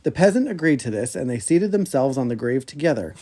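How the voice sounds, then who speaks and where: A man hosting a podcast, reading an old fairy tale aloud in an expressive storybook manner. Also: mid-range voice, fast talker.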